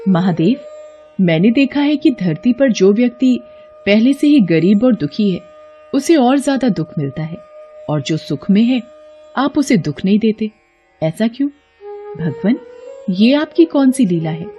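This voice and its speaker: Woman as gentle goddess for a devotional tale, reverent, lively intonation, light music bed. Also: medium pitch, unhurried, lo-fi sound, close to an everyday voice. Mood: earnest questioning.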